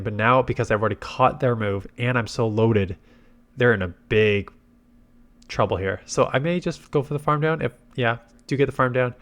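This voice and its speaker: A man's voice: mid-range voice, a bit bright. A man congested with a head cold gives casual gameplay commentary, thinking aloud through his tactics. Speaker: game commentator and video host.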